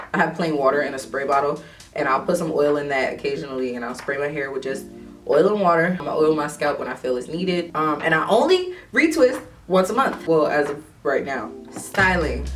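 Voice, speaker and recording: A young woman vlogging casually about her hair, sounding stuffy from a cold, chatty and quick to laugh, with frequent ums. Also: low-pitched, recorded from a distance. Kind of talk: casual talk to camera.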